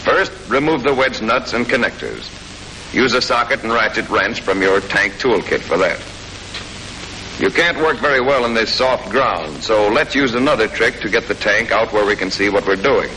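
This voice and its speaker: An American man reading scripted 1940s military training film narration in a clear, matter-of-fact, instructive manner. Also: fairly low pitch, moderate pace, bright, forceful tone. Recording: noisy old 1940s film soundtrack.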